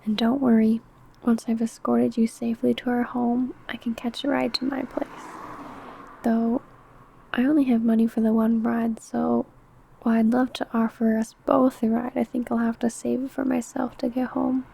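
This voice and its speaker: A woman acts out an ancient, obsessive vampire woman in an ASMR roleplay. Her voice is fairly high yet mellow, soft and breathy, with a little creak in it. She hides a predator's hunger under a sweet, coaxing manner. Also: flat delivery, moderate pace. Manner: soft, breathy, whispery murmuring.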